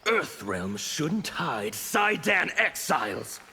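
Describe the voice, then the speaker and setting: Gravelly game villain, angry rebel, slow and menacing, big swings in pitch. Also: male voice, very animated, pushed and bright, faint music behind, full character voice. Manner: slow, declamatory, defiant. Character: bitter fanatical male revolutionary of chaos, scarred and menacing. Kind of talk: video game fight banter.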